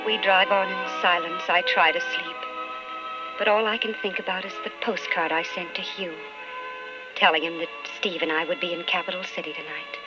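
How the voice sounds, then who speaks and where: A woman acts out a doubting, restrained politician's wife in a vintage radio play. Her voice sits a little low and is carefully measured. The recording is muffled, with music behind. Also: steady tone, close to an everyday voice. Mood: brooding. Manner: measured, hushed inner monologue, restrained. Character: candidate's reserved, doubting wife, quietly narrating her thoughts. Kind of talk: old-time radio drama acting.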